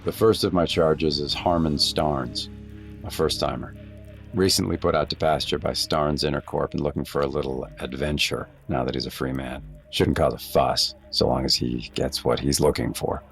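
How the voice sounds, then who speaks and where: Very deep-voiced seasoned hunter guide. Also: male voice, fairly even intonation, gravelly, soft background music. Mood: dry, sardonic. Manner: measured, dry, authoritative. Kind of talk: audio drama acting with narration.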